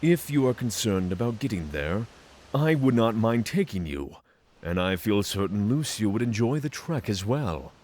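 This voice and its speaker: A man's voice: fairly low pitch, sing-song, normal speed, a little mellow. A man playing a courteous, candid dragon in a fantasy audio drama, speaking in formal phrases. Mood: calm, candid. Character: giant male dragon in mortal company, courteous, candid. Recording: clear background hiss.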